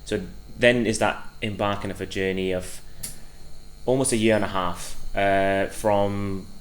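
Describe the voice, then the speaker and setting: A man in his twenties, from Afghanistan, with a Welsh accent, talking through his life in an interview in a reflective way, often pausing with fillers. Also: lowish voice, steady tone, slight background noise, poor audio. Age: 20s. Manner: reflective, hesitant, many fillers. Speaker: guest. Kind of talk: casual interview.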